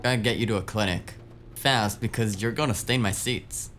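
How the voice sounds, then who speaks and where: A man's voice: on the low side, moderate pace, a bit bright, soft background music, natural voice. A man playing a wisecracking, streetwise hacker sidekick in a cyberpunk audio drama, talking in a casual, slangy, flippant way. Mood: teasing, brisk.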